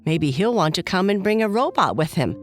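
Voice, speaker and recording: A woman's voice: lowish voice, very animated, brisk, soft background music. A woman voicing a curious, eager little girl bear cub in a children's bedtime story, chatty and childlike.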